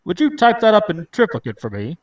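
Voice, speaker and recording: A man's voice: high voice, wildly swooping pitch, fairly quick, recorded from a distance, dull audio. A man playing a pompous bank president who speaks in an oratorical way, in a radio drama read. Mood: businesslike. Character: pompous male bank president dictating a speech. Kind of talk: live table read of old radio drama.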